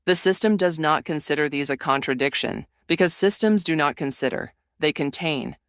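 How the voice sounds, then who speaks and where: A woman giving solemn, measured, literary narration for a scripted audio drama. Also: sits low, no room sound, clean studio sound.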